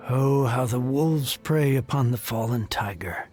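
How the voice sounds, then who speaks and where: A man's voice: mid-range voice, darkish tone, close to an everyday voice. A man voicing a weary, self-pitying, downtrodden trader in a video game, gloomy and resigned.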